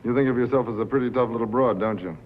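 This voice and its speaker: An actor playing a cool, laconic radio newsman in a 1950s film drama, terse, guarded and deadpan. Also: medium pitch, racing, a little hiss or hum, natural voice. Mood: cool, wry. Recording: tinny 1950s film soundtrack with music.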